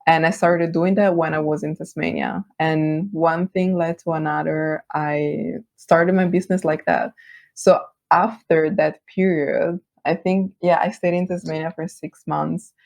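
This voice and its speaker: A woman with an Italian accent whose first language is Italian, chatting as an interview guest, friendly and quick to laugh. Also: deep voice, steady tone, gravelly, darkish tone, no echo. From Italy. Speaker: guest, brand designer. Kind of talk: casual interview chat.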